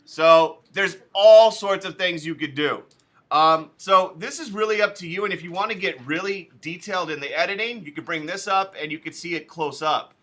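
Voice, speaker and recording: A man's voice: high voice, normal speed, fairly bright tone. A male teacher walking students through a recording program step by step, chatty and casual with loose asides. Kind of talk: casual classroom software tutorial.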